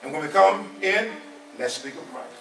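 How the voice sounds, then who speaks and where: A man's voice: high-pitched, lively intonation, moderate pace, vocal fry, background noise. A man of seventy preaching an informal sermon, repeating his points with emphasis in a conversational way. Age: 70s. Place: small church hall. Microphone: far camera. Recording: echoey room from a far camera.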